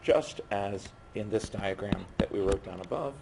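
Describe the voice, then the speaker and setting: Male lecturer, hoarse and low-pitched, halting delivery, noisy classroom recording. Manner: halting, stopping mid-phrase to write. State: losing his voice. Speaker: physics lecturer. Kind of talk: university lecture at a board.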